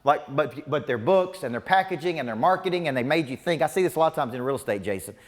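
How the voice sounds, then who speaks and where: Man in his forties, motivational coach, slightly raised pitch, emphatic and repetitive, long hall reverb. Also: expressive, fast. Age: 40s. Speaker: business coach. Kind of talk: motivational seminar talk. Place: large seminar room before a live audience.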